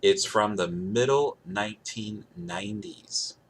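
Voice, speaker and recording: A man's voice: lowish voice, big pitch swings, slow pace, rough recording. A man giving a casual product demonstration to camera, rambling and repeating himself for emphasis. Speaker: gadget reviewer. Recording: clear background noise.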